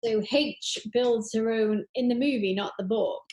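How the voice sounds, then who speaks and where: High-voiced, enthusiastic woman in an echoey room. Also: measured pace, darkish tone. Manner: rambling, enthusiastic, gushing. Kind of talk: casual chat. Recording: roomy, echoey sound.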